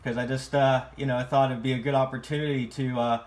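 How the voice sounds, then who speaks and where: An American man chatting casually as an amateur radio operator, with halting, repeated phrasing. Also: mid-range voice, flat delivery, a little mellow. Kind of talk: casual ham radio conversation.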